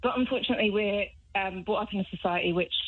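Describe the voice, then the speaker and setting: A British woman calling a radio show, explaining her view in a measured, conversational way. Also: medium pitch, dry recording. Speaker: phone-in caller, vegan activist. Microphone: phone. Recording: thin, narrow telephone line.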